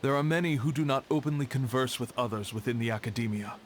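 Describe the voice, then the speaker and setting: A man playing a serious, guarded scholar in a video game, speaking in a measured, formal way. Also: medium pitch, slight background noise, close to an everyday voice. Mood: guarded, stern. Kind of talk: video game character acting.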